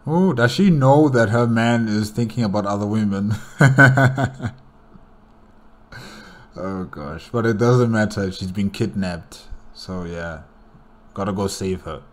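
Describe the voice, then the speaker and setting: A man's voice: lowish voice, slow, pauses often. A man giving casual, rambling reaction commentary as a video host, hesitating as he thinks aloud.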